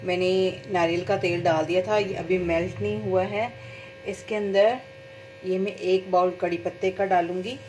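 A woman's voice: medium pitch, darkish tone, a little hiss or hum, poor audio. A woman who runs a beauty salon, chatting step by step through a homemade hair oil recipe in her kitchen, with small fillers as she works. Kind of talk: home cooking-style hair oil tutorial. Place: home kitchen at stove.

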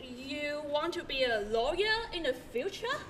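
A woman's voice: high-pitched, very animated, a little slow, a bit bright, slight background noise. A young woman acting in a school stage skit, speaking her lines eagerly. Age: young adult. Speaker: student actor playing Vicky and narrator. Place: large indoor stage.

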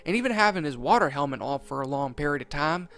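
A man's voice: fairly high pitch, animated, fast, creaky, a bit bright. A man voicing an upbeat young female squirrel scientist with a Southern US country accent. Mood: encouraging.

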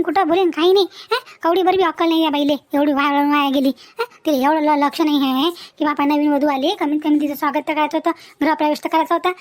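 A woman's voice: sits high, close to an everyday voice. A woman voicing an outspoken, protective village mother in a cartoon family story, with a rural Vidarbha Marathi accent and an emphatic, repetitive way of talking. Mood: indignant. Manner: chatty, emphatic, repetitive scolding and advising. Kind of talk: animated family story dialogue.

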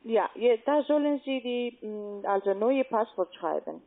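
A woman with a foreign accent, not a native German speaker, giving formal, halting instructions as a call-centre agent. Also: fairly high pitch, lively intonation. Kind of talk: phone instructions. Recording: muffled, tinny phone line.

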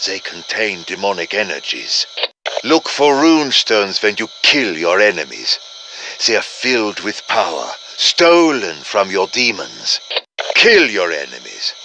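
A slow, earnest, dramatic professor. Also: male voice, medium pitch, pushed and bright, a little hiss or hum, full character voice, low-quality recording. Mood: urgent, instructive. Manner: deliberate, formal, dramatic. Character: earnest scholarly male professor allied with demons. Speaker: game character giving players instructions. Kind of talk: video game voice acting.

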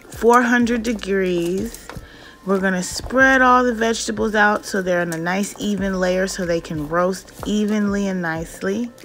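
A woman narrates a recipe in a home kitchen, taking her time over every step. Her voice sits in an ordinary middle register, and her manner is plain and homely. Music plays clearly behind her. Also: mid-range voice, fairly bright tone. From Jamaican parents. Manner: unhurried, instructional, homely. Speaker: cooking vlogger. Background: background music.

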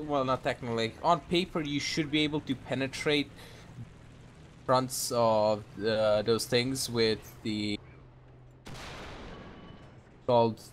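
A man chatting casually with a teammate while gaming, swearing freely in a halting way. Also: medium pitch, slight background noise. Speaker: video game player.

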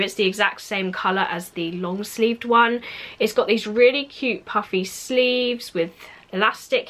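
A young woman talks through a clothing haul in her bedroom, with a bright, mid-pitched voice. She is just getting over a cold and chats loosely, filling her sentences with "like.". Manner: chatty, lots of "like" fillers. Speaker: fashion vlogger. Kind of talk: casual clothing haul review. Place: bedroom at home, indoors.

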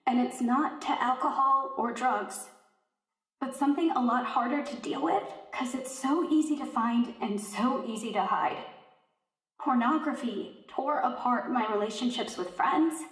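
A woman playing a candid teenage girl giving earnest, confessional testimony in an audio drama. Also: sits high. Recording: echoey room, distant mic.

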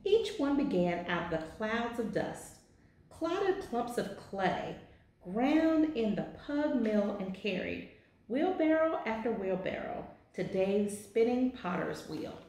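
A woman in her forties reading a children's picture book aloud with deliberate, expressive phrasing in an office. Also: a little high. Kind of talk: children's storybook read-aloud. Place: small indoor office. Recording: very echoey, roomy sound.

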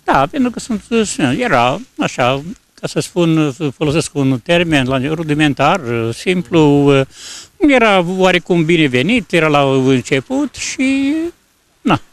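Old guy in his 70s interviewed on the street, slightly high voice, keeps groping for words. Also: big pitch swings. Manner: halting, searching for words. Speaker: passer-by interviewee. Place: outdoors on a snowy street. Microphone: reporter's handheld microphone.

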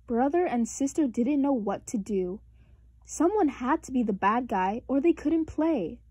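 A woman narrates a children's picture book aloud. Her voice is fairly high and expressive, with deliberate emphasis, recorded dry and close. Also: breathy edge, dry recording. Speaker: storybook reader.

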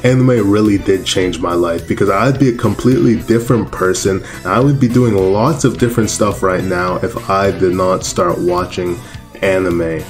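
A teenage guy from Canada talks casually to his viewers in a deep, low voice. He laughs at himself as he goes. Faint music sits quietly under his voice. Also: moderate pace. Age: teens. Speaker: YouTuber.

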